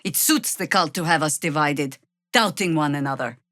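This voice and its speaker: An actress playing a world-weary, wry middle-aged veteran druid who leads rebels in a video game, with a dry, measured delivery. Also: female voice, low-pitched, fairly bright tone, no room sound. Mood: grave, wary. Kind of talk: video game character acting.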